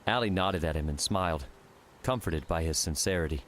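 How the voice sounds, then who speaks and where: A man's voice: sits low, brisk, fairly bright tone, slight background noise. A man reading scripted fiction narration as an audiobook narrator. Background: music.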